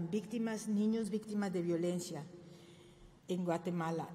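A woman's voice: fairly low pitch, dark tone, a little hiss or hum. An older woman giving a formal presentation at a podium, speaking with frequent fillers and self-corrections. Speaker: conference speaker. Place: government press room. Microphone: podium microphone. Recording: reverberant room, faint ambience.

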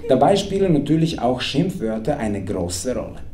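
A man's voice: medium pitch, sing-song, moderate pace, warm tone. A man in his thirties, a native Serbian speaker, reading his own comic text aloud to a live audience at a stand mic on a small club stage, with a wry, playful delivery. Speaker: author reading from his book. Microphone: stand mic at a table. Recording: roomy club with audience noise. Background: audience laughter.

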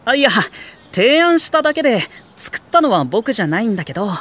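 A woman's voice: a little high, sing-song, a little fast, fairly bright tone. A woman playing a polite, easygoing teenage boy hero in an anime audio drama, speaking casually and considerately. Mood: modest.

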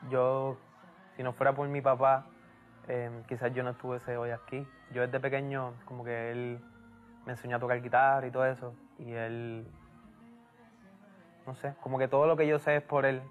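A young man speaks in a soft, reflective way, pausing often and keeping his pitch flat. Faint music plays under his voice. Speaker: band member. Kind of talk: casual interview talk.

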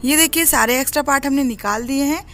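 A woman's voice: sits high, fast, fairly bright tone. A woman talks through a recipe as a home cooking host. She explains each step in an instructive way. Faint music plays behind her narration.

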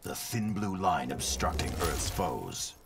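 A grave male ninja warrior in a fighting game. His voice is cavernously deep and rough with grit, and he speaks in short, clipped lines. Steady noise hums under the game audio. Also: slow, exaggerated voice. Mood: stern. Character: stern icy male ninja warrior leader.

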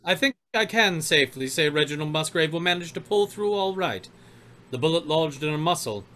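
A man playing a formal, measured physician in an old-time radio mystery. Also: fairly high pitch, lively intonation, pushed and bright. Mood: formal, reassuring. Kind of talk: 1930s radio mystery acting. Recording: faint background noise and room ambience.

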